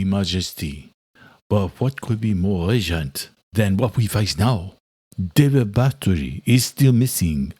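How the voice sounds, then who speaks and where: A man's voice: fairly low pitch, dark tone, slightly rough, no room sound. A man voicing an angry vampire duke who is blunt, formal and accusing, in an audiobook. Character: angry male vampire duke challenging his king.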